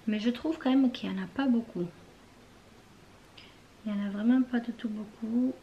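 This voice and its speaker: A young woman talks casually in her bedroom, rambling through loose, trailing sentences full of fillers. She often drifts into a soft near-whisper. Faint room noise sits underneath. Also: a little high, normal speed, dull audio. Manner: chatty and rambling, many fillers and trailing phrases. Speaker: beauty vlogger. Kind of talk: casual chat to camera.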